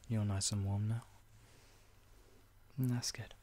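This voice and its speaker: A young man gently comforting the listener in a whispered roleplay, speaking in a soothing, caring way. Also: fairly low pitch, fairly even intonation, slow pace.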